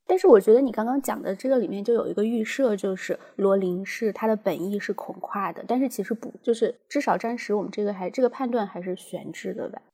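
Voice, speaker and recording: Woman, podcast co-host, fast talker, husky voice, casual discussion. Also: medium pitch, mellow.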